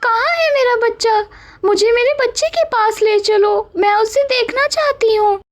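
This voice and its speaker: A woman voicing an anguished, pleading mother crow searching for her chick in an animated moral story. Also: very high-pitched, unhurried, dry recording, studio quality, pitched above the performer's own voice. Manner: pleading, lamenting.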